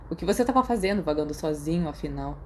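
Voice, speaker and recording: A woman's voice: low-pitched, lively intonation, fast. Woman as a haughty, cold young Brazilian schoolboy, noisy recording. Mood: cold, suspicious. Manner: cold, imperious, suspicious. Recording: noticeable background noise.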